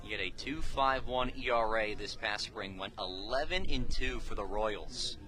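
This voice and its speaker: A man's voice: fairly low pitch, a little slow, crowd noise. A man calling live baseball play-by-play for radio in clipped, telegraphic phrases. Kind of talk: live sports commentary. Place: outdoors at a baseball game.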